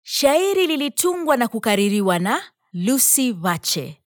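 A woman's voice: fairly high pitch, sing-song, measured pace. A woman reading scripted credits as an announcer.

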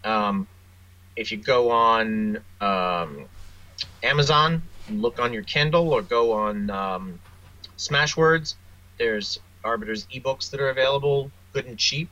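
A man with a forceful, bright voice and average pitch answers interview questions casually. He rambles a little and hesitates as he goes. The recording is slightly dull and lo-fi. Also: expressive, slow pace. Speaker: interview guest, author and audio drama producer.

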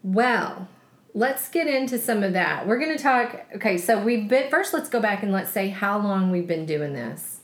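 A woman co-hosting a casual podcast, talking in a loose, chatty way and often laughing at herself. Her voice sits at an ordinary pitch for a woman. The room around her is roomy and echoey. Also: lively intonation, normal speed. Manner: chatty, laughs easily, self-mocking. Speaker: podcast co-host, chef. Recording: roomy echo.

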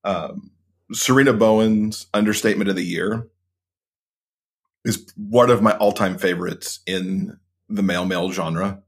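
A man's voice: on the low side, fairly even intonation, measured pace, breathy edge. A man as an interview guest, talking about books in an earnest, enthusiastic, casual way. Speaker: podcast co-host, guest.

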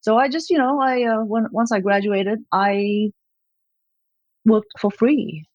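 A woman's voice: a little high, steady tone, takes it slowly, darkish tone, dry recording, muffled recording. A woman in her fifties from Malaysia, speaking as an interview guest. She talks in a rambling way, with many fillers and light laughs. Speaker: gallerist, guest. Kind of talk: conversational interview.

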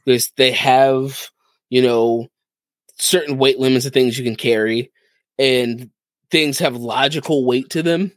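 A man's voice: mid-range voice, steady tone, unhurried, no echo. A man who hosts a podcast, chatting casually about video games and often repeating his phrases. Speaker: podcast host.

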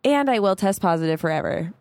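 A woman's voice: mid-range voice, lively intonation, fast talker, a little mellow, no room sound. A young woman with a head cold, co-hosting a casual podcast, chatty and full of "like" fillers and laughs. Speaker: podcast co-host. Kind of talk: casual chat.

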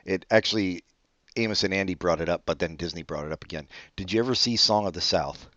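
A man's voice: deep voice, fast, a bit bright, no room sound. A man co-hosting a podcast, chatting casually in a dry, rambling way and swearing offhand. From born in Brazil, raised in California. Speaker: podcast co-host. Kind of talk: casual comedic chat. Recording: dull dry lo-fi audio.

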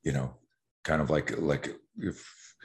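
Very deep-voiced man in his sixties. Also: slow pace. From Canada. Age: 60s. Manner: animated, rambling storyteller with many restarts. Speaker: guest. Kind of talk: casual podcast interview.

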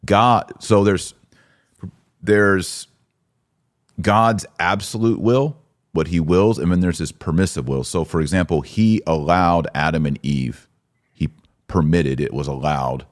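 A man's voice: low-pitched, measured pace. A man hosting a podcast, giving conversational religious commentary in an emphatic, teacherly way. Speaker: podcast host.

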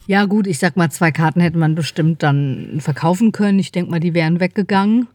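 A woman's voice: on the low side, a little fast, darkish tone, dry recording. A woman in her fifties chatting casually and informally as a podcast co-host. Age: 50s.

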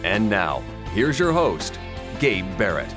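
A man's voice: on the low side, very animated, takes it slowly, over music. A man reading a scripted podcast intro in a polished announcer manner. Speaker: show announcer.

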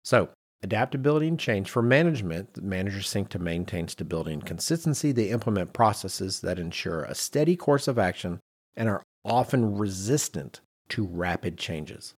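A man's voice: fairly low pitch, animated, moderate pace, breathy edge. A middle-aged man hosting a podcast in casual discussion, acting out little imagined quotes as he explains. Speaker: podcast host.

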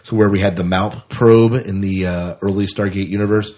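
Low-pitched American man, casual podcast host. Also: fairly even intonation, normal speed, darkish tone. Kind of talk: casual sci-fi news chat.

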